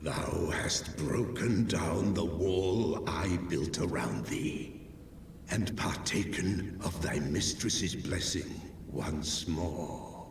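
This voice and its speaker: An actor playing an ancient, proud male dragon in a fantasy game, with a deep gravelly voice and stately, archaic, solemn speech. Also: extremely slow, exaggerated voice. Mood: solemn respect. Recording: cavernous echo, distant, noisy ambience.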